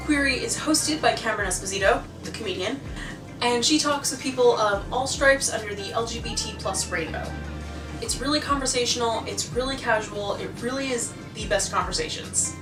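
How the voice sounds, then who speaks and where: A woman's voice: a little high, normal speed. An American woman hosting a casual, scripted recommendation vlog in a conversational, enthusiastic way. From United States. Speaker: video host. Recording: roomy, distant mic, music behind.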